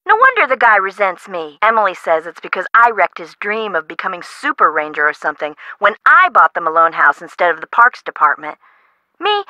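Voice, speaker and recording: A woman's voice: mid-range voice, no room sound. An actress playing an emotive, chatty woman homeowner in an adventure video game. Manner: emotive, expressive, colloquial. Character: frightened, dramatic woman homeowner, chatty and friendly. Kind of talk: game character dialogue acting.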